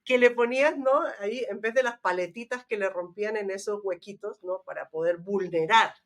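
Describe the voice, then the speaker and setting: A woman's voice: mid-range voice, normal speed. A middle-aged woman in casual, nostalgic conversation, telling stories chattily with questioning tags and little acted-out sounds. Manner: chatty storyteller, tags phrases with questions, acts out small sounds. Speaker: podcast host.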